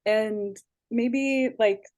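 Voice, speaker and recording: A woman's voice: fairly high pitch, steady tone, extremely slow, a little mellow, no echo. A woman interviewing a guest in a casual, encouraging way, phrasing her questions tentatively. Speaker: interviewer, movement studies faculty.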